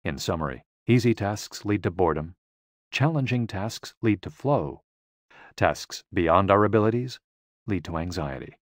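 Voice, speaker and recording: A man reading a scripted audiobook in a measured, clearly phrased, explanatory manner. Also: low-pitched, expressive, dry recording, studio quality. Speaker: audiobook narrator.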